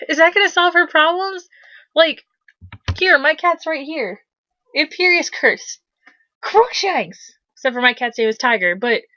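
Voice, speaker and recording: A woman's voice: high-pitched, bright, forceful tone, no room sound, lo-fi sound. A woman giving sarcastic, incredulous commentary as she hosts a dramatic reading of fan fiction. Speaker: host doing a dramatic reading. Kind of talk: mocking commentary on read-aloud fan fiction.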